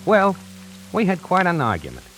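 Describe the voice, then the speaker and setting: A man's voice: high-pitched, wildly swooping pitch, normal speed, a bit bright, full character voice, rough recording. A brash New salesman. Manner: colloquial, wry storyteller. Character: streetwise male salesman narrating, brash. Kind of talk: 1940s radio acting. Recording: vintage 1940s radio broadcast with music.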